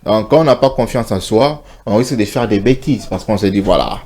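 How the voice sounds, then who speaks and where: A man's voice: lowish voice, brisk, a little mellow. A man co-hosting a morning TV show, giving earnest advice in a casual studio chat and slipping into Lingala.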